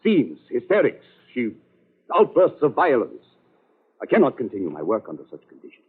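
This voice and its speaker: A harried, formal doctor, agitated and swooping in pitch, with a slightly rough, husky voice. It sounds like a dull old 1940s radio broadcast. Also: male voice, huge pitch swings, normal speed. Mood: distraught. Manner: overwrought, stiffly formal phrasing.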